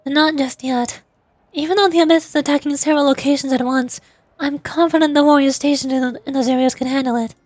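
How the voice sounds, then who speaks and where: A woman voicing a confident, sincere warrior leader from a game, speaking in a measured, earnest way. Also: high-pitched, fairly even intonation, darkish tone, dry recording, lightly acted. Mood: assured. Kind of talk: game dialogue read aloud.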